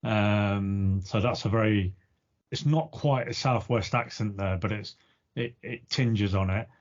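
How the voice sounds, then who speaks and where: A man's voice: on the low side, a little slow. Easygoing English Midlands man. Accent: neutral English Midlands. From East Midlands, England. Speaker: podcast co-host. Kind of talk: casual chat. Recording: dull lo-fi podcast audio.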